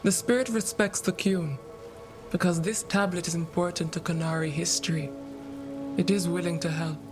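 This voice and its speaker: A grave, oracular seer played by a man from a fantasy video game. The voice is high for a male and delivers cryptic lines in solemn, measured phrases, with music clearly underneath. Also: steady tone, natural voice.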